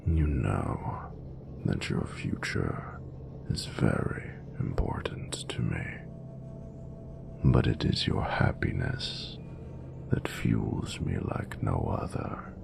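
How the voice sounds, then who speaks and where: A man voicing an ancient, regal dragon in a romantic audio roleplay, doting and flirtatious, with gravelly, breathy, often near-whispered, grandiose and formal speech. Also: extremely low pitch, expressive, heavily acted. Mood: tender, doting. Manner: grandiose, formal, measured phrasing. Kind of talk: romantic audio roleplay, slow intimate monologue.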